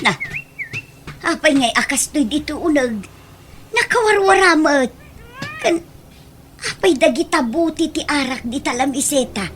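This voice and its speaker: A woman's voice: high voice, lively intonation, unhurried, pauses often, background noise. A woman playing a nagging, exasperated mother in an Ilocano comedy radio drama, scolding and exclaiming.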